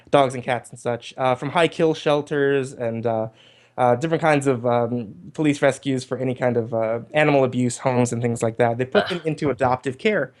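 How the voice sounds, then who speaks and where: A man hosting a livestream in casual group chat, with hesitant, rambling explanations. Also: medium pitch. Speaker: livestream host.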